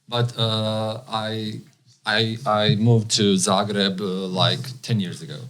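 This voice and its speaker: A man in his thirties from Bosnia, a non-native English speaker whose first language is Croatian, talking haltingly as a podcast guest with many fillers. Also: fairly low pitch, fairly even intonation, fairly bright tone. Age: 30s. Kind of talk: casual interview chat.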